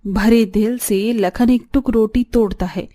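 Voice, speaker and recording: A woman's voice: fairly high pitch, fairly even intonation, a little slow, mellow, faint music behind. A woman giving dramatic scripted narration as the storyteller of a tale.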